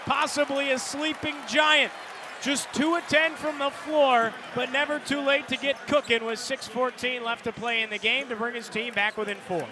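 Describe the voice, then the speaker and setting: An American man doing live radio play-by-play of a basketball game in clipped, energetic phrases. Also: very high voice, normal speed. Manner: clipped phrases, builds to excited calls on big plays. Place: courtside in a large indoor arena. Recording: live arena broadcast, loud court noise behind. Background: loud arena noise, bouncing basketball.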